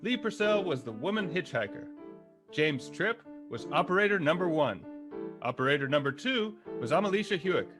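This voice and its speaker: A man announcing cast credits in a scripted radio style. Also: on the high side. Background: music.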